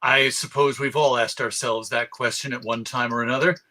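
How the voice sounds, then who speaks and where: A man's voice: medium pitch, fairly even intonation, a little fast, bright, forceful tone. An American man giving an informal lecture as a presenter, with a conversational, dryly wry manner. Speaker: presenter and radio historian.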